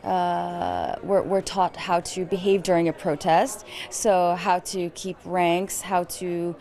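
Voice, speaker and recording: A woman answering interview questions haltingly, with many fillers. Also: on the low side, little pitch movement, warm tone.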